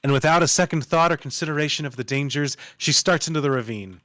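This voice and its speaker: A man's voice: fairly high pitch, fast, fairly bright tone, dry recording. A man giving dramatic scripted narration, reading stage directions like a storyteller.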